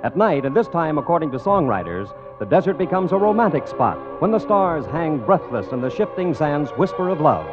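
A man's voice: on the high side, big pitch swings, fairly quick, hardly any pauses. A man hosting an old-time radio music show, reading a wry, flowery scripted song introduction. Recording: dull vintage radio recording. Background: loud music.

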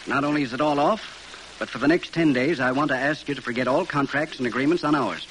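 An actor playing a firm, weary arsenal manager in an earnest 1940s radio drama style. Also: male voice, medium pitch, fast, fairly bright tone, close to an everyday voice. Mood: grave, firm. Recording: noisy vintage radio drama recording.

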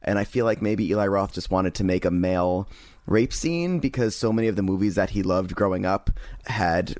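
A man's voice: sits low, moderate pace, darkish tone, no room sound. A man co-hosting a podcast, giving an opinionated movie review in casual chat with sarcastic jabs. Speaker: podcast co-host.

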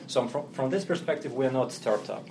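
A man's voice: medium pitch, normal speed, a little mellow, a little hiss or hum. A man from Russia with a Russian accent, a company chief speaking as a podcast guest in plain businesslike talk with many fillers. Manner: fluent but non-native phrasing, businesslike, frequent fillers. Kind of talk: podcast interview.

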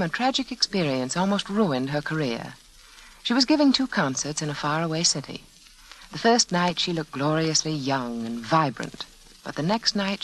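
An American woman giving a measured, confiding sales pitch as hostess of a 1940s radio show. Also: poor audio. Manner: measured, confiding, direct address. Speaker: sponsor's hostess. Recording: old radio broadcast, faint noise.

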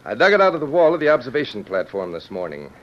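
An actor as a protective American government man in a radio western. He speaks deliberately and formally with dry wit, his medium-pitched voice rising and falling strongly. The sound is dull and vintage. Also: sing-song, close to an everyday voice. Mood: wry. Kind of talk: old-time radio drama acting. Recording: muffled old radio recording.

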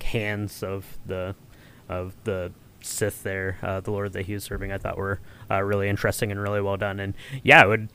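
A man hosting a casual podcast discussion, thinking aloud in a halting way. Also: on the low side, a bit bright, slight background noise. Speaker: podcast host. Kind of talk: casual discussion, informal chat.

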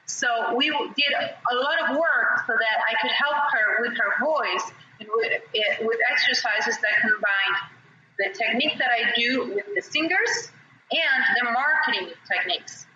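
A woman from Argentina, speaking as a voice and presence coach in an interview and telling animated stories. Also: fairly high pitch, a little slow. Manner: animated storyteller who demonstrates voices. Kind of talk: podcast interview guest. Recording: bright, echoey, distant, slightly dull with faint hiss.

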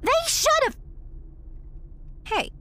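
A woman's voice: drawn-out words, bright, forceful tone. A woman playing a struggling young aspiring actress in an audio drama, theatrical, prickly and sardonic. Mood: indignant. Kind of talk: naturalistic audio drama dialogue.

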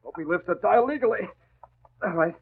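An actor playing a brisk, commanding masked crime-fighter with a dry wit, in 1940s radio acting. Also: male voice, high voice, expressive, gravelly, a crowd in the background. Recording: tinny old radio recording with faint noise.

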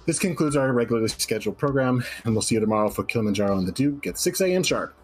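A man's voice: mid-range voice, animated, very fast, husky, soft background music. A man voicing a hysterical radio announcer preaching doom in a theatrical, ranting manner. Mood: grave, solemn. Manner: theatrical, ranting, swooping into shouts of outrage. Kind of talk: dramatic radio broadcast reading.

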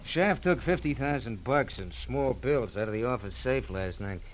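A man's voice: medium pitch, animated, normal speed, slight background noise, lightly acted, rough recording. An actor playing a dutiful male police underling making reports in an old radio drama. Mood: dutiful, brisk. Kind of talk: 1940s radio drama acting.

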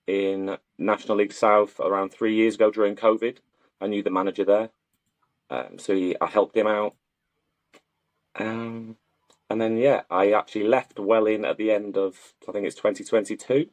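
A man's voice: low voice, no room sound. A man from North Wales talking casually and matter-of-factly as an interview guest, a sports coach. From Wrexham, North Wales. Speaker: guest, football strength and conditioning coach.

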